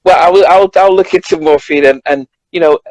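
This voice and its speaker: A man with a British accent in a casual conversation, halting and repeating words as he finds his point. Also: on the high side, a little mellow, no echo, poor audio. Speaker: journalist co-host. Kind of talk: casual chat.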